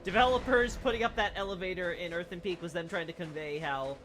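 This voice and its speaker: A rambling streamer talks through game lore as they play, leaning on "you know" and "right?" at every turn. The voice is bright and forceful, with a faint hiss underneath. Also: mid-range voice, fast. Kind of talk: casual game lore commentary while playing.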